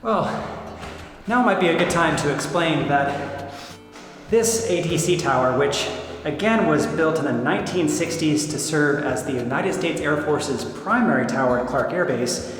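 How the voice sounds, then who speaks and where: A male presenter with a slightly high voice leads a guided tour in a chatty, playful way. His words ring with heavy echo and hall reverb as he talks to the camera. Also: expressive, measured pace, a bit bright. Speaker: host of a tour documentary. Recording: echoey hall reverb, music behind.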